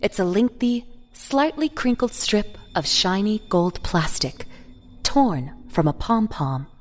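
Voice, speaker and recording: A woman's voice: mid-range voice, big pitch swings, slow, soft background music. A woman narrator reading a scripted story for an audio drama.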